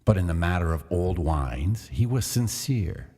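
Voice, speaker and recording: Man, very deep voice, measured dramatic storytelling, gothic tale, long reverb. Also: big pitch swings, mellow, husky. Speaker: narrator.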